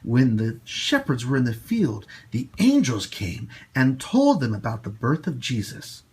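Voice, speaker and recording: A man hosting storytime for children, reading and talking to them in an expressive, emphatic way. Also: medium pitch, husky. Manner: animated, slow, emphatic delivery for young children. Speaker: storytime host and children's teacher.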